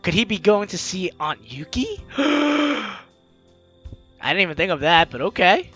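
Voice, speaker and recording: A video game host with an animated, teasing, exclamatory way of talking, reading aloud and commenting playfully. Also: mid-range voice, a little slow, slightly rough, dry recording, lo-fi sound, faint music behind. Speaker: let's-play video host.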